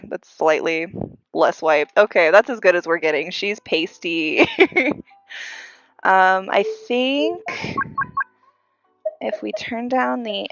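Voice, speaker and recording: A woman's voice: lowish voice, no room sound, low-quality recording. A young woman with a congested voice chatting casually and gushing as she streams a game. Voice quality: congested, audibly breathy intakes. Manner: giggly, gushing fan. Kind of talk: casual live gameplay chat. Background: faint game music.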